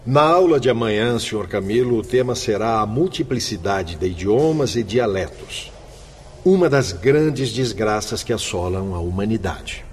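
A man acts a learned spirit instructor in a radio drama, idealistic and declamatory. His low Brazilian voice rings with long reverb over a faint ambience. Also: expressive, moderate pace, slight background noise, lightly acted. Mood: instructive.

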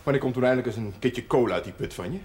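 A man's voice: mid-range voice, racing, darkish tone, lightly acted. An actor playing an awkward, reserved brewery man in a 1970s television drama. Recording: noisy 1970s television soundtrack.

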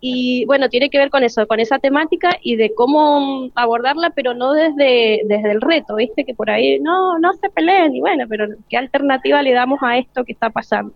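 An Argentine woman, a teacher, talking informally in a colloquial, reflective and halting way. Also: high-pitched, gravelly, dry recording, highs cut off. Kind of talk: informal interview talk.

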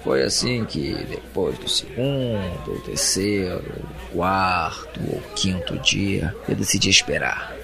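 A man with a Brazilian Portuguese accent playing an anguished captive in an audio drama, narrating his imprisonment in a brooding, deliberate monologue. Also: low-pitched, expressive, vocal fry, crowd noise, full character voice. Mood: weary, resigned. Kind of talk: dramatic first-person monologue. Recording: music bed under the voice.

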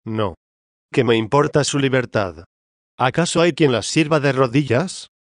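Un hombre que pone voz al espíritu de una reina difunta, orgullosa y desdeñosa, que responde con altivez. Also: male voice, medium pitch, lively intonation, unhurried, dry recording, clean studio sound, natural voice. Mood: haughty. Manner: disdainful, imperious. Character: haughty dead queen's spirit, woman, proud, scornful.

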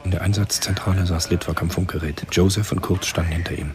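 An actor narrating a German radio spy thriller in a measured, scripted style. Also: male voice, sits low, fairly even intonation, a little mellow, slight background noise, close to an everyday voice.

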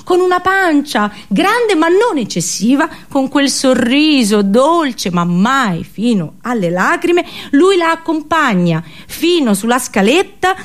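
A woman actor delivering a theatrical radio drama monologue, dramatic and halting, with deliberate pauses and repetitions. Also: high-pitched, sing-song. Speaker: actress performing a monologue.

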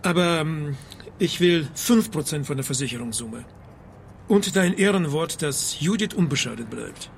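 A man's voice: fairly high pitch, expressive, normal speed, vocal fry, a little hiss or hum. An actor playing an anxious, cornered man in a German radio drama, with a hesitant way of speaking. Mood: wary, scheming. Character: anxious, cornered man, haplessly scheming, dream narrator. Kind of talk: German radio drama acting and first-person narration. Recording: music bed under the voice. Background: music.

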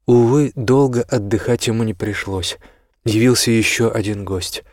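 A man's voice: on the low side, moderate pace, dry recording, clean studio sound. A man reading scripted fiction as the narrator of an audiobook.

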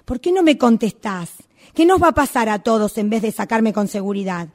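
A woman's voice: on the high side, normal speed, darkish tone, natural voice. A woman with a Rioplatense accent playing an indignant employee who confronts her boss with insistent questions, in amateur radio theatre. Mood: angry, challenging. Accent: Rioplatense Argentine Spanish.

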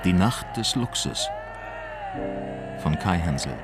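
A man's voice: low voice, lively intonation, takes it slowly. A man reading the scripted title and credits of a radio drama as announcer. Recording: loud music behind the announcement.

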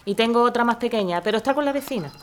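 A woman's voice: fairly high pitch, expressive, rapid-fire, natural voice. A woman playing a humble, struggling working-class mother in a radio drama, plain-spoken. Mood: humble, earnest.

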